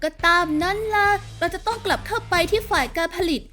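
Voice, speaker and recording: A woman voicing a bossy, sardonic young rebel leader in a video game fan dub, with a brisk, teasing delivery. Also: extremely high pitch, sharp tone. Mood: brisk briefing. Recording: music behind the voice.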